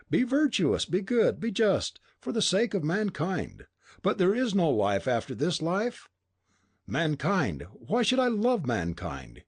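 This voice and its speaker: A man's voice: fairly high pitch, husky, voice pushed up, exaggerated voice. A man voicing a bitter, scornful young male robber who sneers and accuses in an audiobook reading. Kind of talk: audiobook dialogue.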